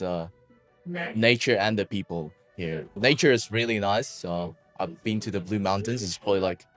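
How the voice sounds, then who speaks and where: A young man from Spain whose first language is Spanish, talking casually as an international student, with frequent fillers. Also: fairly low pitch. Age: young adult. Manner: relaxed, uses lots of "like" fillers.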